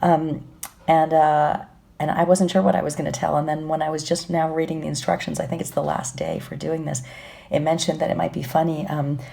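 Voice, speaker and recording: A woman in her late forties with a cold, leaving a halting, soft-spoken video message for a friend. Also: deep voice, little pitch movement, creaky. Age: late 40s. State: has a cold, scratchy voice.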